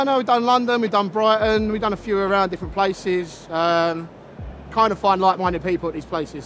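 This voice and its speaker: A man visiting a tattoo convention, talking loudly in a blunt, laid-back way and swearing casually. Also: sits high, a little hiss or hum. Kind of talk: casual chat. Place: busy convention hall.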